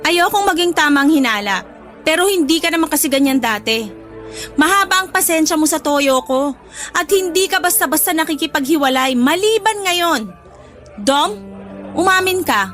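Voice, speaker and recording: A woman's voice: sits high, animated, normal speed, fairly bright tone, soft background music. A woman playing a hurt, suspicious, clingy young girlfriend in a Tagalog radio drama, emotional and confrontational.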